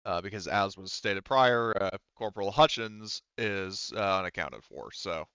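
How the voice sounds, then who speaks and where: A man with a low, smooth voice narrates a tabletop roleplaying game as game master. He explains things casually and haltingly, in a close, dry but slightly dull recording. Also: lively intonation, sharp tone, dry recording.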